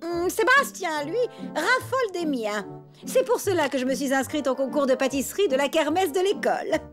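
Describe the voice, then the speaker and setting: Pompous, high, swooping cartoon schemer. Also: female voice, big pitch swings, moderate pace, over music. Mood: sugary scheming. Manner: pompous, theatrical, mock-sweet. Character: scheming, boastful, showy middle-aged mother, sugary rival. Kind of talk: French children's cartoon voice acting.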